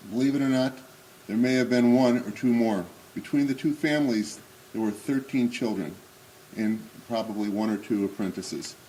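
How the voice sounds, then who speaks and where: An older man in his sixties speaks at a middling pitch, presenting slides and reading excerpts aloud at a synagogue event. His delivery is measured and halting, full of small pauses and fillers. A faint background hum sits behind his voice. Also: warm tone, low-quality recording.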